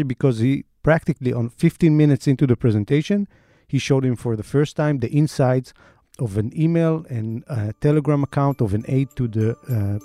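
Man, Israeli accent, journalist in an interview, halting restarts, warm low tone. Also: no echo, studio quality. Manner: halting, with frequent restarts and repeated words. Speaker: investigative journalist. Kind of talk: conversational interview storytelling.

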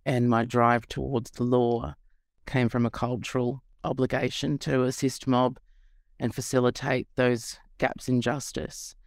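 A man's voice: medium pitch, little pitch movement, a little slow, vocal fry, dry recording, studio quality. Earnest man hosting a podcast. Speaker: podcast host. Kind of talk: podcast intro and interview.

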